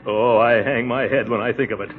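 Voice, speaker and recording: An actor playing a pompous, chuckling middle-aged uncle who schemes sheepishly, in a 1940s radio sitcom style. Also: male voice, medium pitch, fairly even intonation, fairly quick, soft background music, lightly acted. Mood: blustering, self-important. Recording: tinny, hissy 1940s radio broadcast.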